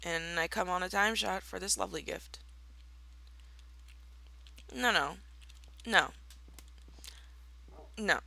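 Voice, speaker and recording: A young woman giving casual commentary over a block-building game, slightly raspy from just waking up, playful and mock-villainous in a theatrical way. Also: on the low side, slow, long pauses, pushed and bright, dry recording. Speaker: Minecraft let's player.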